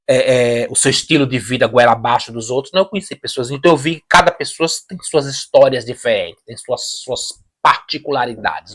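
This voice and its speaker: Emphatic Brazilian man giving opinions. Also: medium pitch. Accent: Brazilian Portuguese. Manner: emphatic, repeats phrases, restarts sentences. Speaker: reaction video host. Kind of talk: casual opinion commentary.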